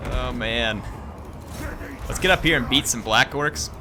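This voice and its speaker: A man's voice: medium pitch, a little slow, background noise. A man hosting a gaming channel, giving playful, jokey live commentary on a battle and chatting with his viewers.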